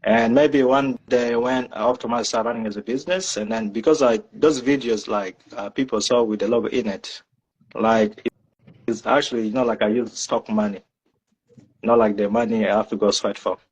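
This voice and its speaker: A man's voice: medium pitch, fairly even intonation, moderate pace, breathy edge, poor audio. A man from South Sudan answering interview questions casually, hedging with many "like" and "you know" fillers. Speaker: guest.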